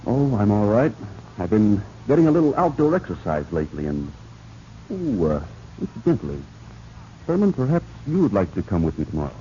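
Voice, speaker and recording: Dark-voiced scheming office man. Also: lowish voice, very animated, brisk. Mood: guarded, casual. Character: scheming, cold-blooded male office subordinate turned murderer. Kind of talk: 1940s radio drama acting. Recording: dull, noisy 1940s radio recording.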